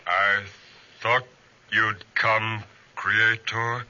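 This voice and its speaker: Ponderous, sharp-edged towering monster. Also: male voice, lowish voice, little pitch movement, exaggerated voice. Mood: slow menace. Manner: halting, heavily deliberate delivery. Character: towering man-made monster, mournful, menacing, pleading with his creator. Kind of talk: 1940s radio horror acting. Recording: noisy, muffled 1940s radio recording.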